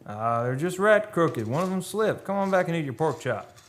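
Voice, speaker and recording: A man's voice: on the high side, very animated, normal speed. An American man playing a plain-spoken, easygoing oil rig hand in a radio play, talking and telling his tale in a folksy, ungrammatical way. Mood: reassuring. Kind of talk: radio play acting and narration.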